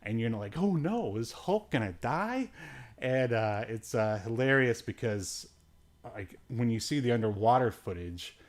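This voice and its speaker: A man's voice: medium pitch, expressive, unhurried. A man hosting a podcast, recapping in casual chat with a rambling, jokey, irreverent manner and offhand, slangy phrasing. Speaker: podcast host.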